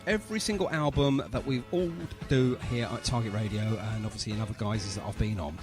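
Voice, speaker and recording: A man's voice: fairly low pitch, a little fast, over music. A man hosting a casual radio interview, chatting in a jokey, effusive way. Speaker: radio show host.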